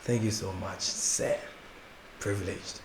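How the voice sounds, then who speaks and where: A young Ghanaian man with a Ghanaian accent, a gospel artist chatting as an interview guest, soft-spoken, laughing easily and often restarting his sentences. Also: low-pitched, steady tone, slow, slightly rough, low-quality recording. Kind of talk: casual interview chat.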